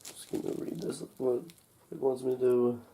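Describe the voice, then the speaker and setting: A young man with a slightly congested, cold-recovering voice talks casually and haltingly, muttering to himself as he hosts an unboxing. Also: mid-range voice, level tone, mellow. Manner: halting, muttering to himself while handling packaging. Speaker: unboxing video host. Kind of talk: casual unscripted product unboxing talk. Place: indoors at home, over a table. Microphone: camcorder microphone close above the table.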